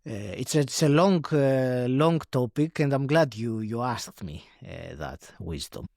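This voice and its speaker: A man from Greece, a guest in a podcast interview, speaking in a reflective, halting way with many fillers and restarts. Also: medium pitch, animated, creaky, a little mellow, no room sound, clean studio sound. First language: Greek. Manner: hesitant, many fillers and restarts, laughs often.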